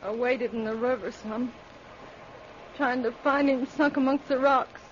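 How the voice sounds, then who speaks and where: A woman's voice: sits high, fairly even intonation, unhurried. A woman acting in an old-time radio drama as a grieving, defiant country girl with accusing, folksy speech. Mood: numb, grieving. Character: defiant, grieving backwoods girl, skinny daughter. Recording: muffled, noisy old radio recording.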